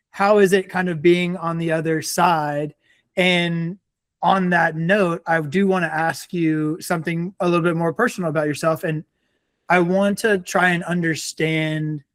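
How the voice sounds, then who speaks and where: A man's voice: a little high, no room sound, studio quality. A man hosting a podcast, asking rambling, loosely phrased questions. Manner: rambling, many fillers and restarts. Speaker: podcast host. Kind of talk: casual interview questions.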